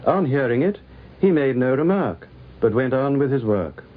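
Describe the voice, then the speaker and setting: A man narrates in a formal, literary style with a measured delivery and a mid-range voice. The recording is thin and phone-like, like an old 1950s radio broadcast. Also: medium pitch, animated.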